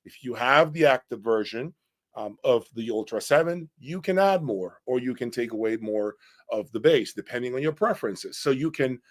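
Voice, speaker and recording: A man hosts an informal product breakdown in a mid-pitched voice. He speaks bluntly and with emphasis, states opinions firmly, and keeps checking that the listener follows. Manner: blunt, emphatic, often checks with "okay?" and "right?". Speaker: audio reviewer and host.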